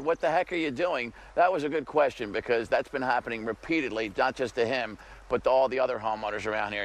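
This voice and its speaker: A middle-aged man delivers a live news report from outdoors. His tone is conversational, with firm emphasis on key points. His pitch sits in an ordinary middle range. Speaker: field reporter. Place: outdoors by a grassy field.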